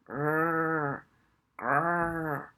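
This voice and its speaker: A woman voicing slow, rhythmical growls of a small dog in a children's audiobook. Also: sits low, steady tone, bright, forceful tone, full character voice. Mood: lulling growl. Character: growling small dog, imitated by a girl to hypnotise.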